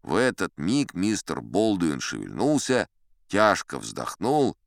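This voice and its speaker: A man with a low, resonant voice narrates an adventure tale. His delivery is theatrical and highly varied in pitch, with dramatic pauses that shape each phrase like a stage storyteller. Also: wildly swooping pitch, creaky, dry recording, clean studio sound. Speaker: audiobook narrator. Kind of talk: expressive scripted adventure storytelling in first person.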